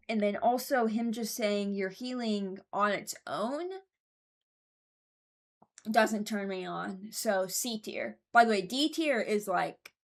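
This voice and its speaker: Female YouTuber for casual ranking commentary; frank, rambling, hesitant, mock-serious. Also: mid-range voice. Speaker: YouTuber ranking game voice lines.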